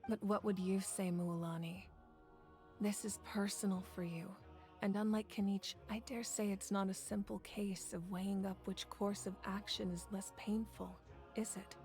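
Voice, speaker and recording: A woman plays a commanding, patient leader in a video game, with a medium-pitched voice. She speaks deliberately and formally, resolute and composed, over music. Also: steady tone, darkish tone, lightly acted. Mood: probing. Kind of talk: English video game voice acting. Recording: music under the voice.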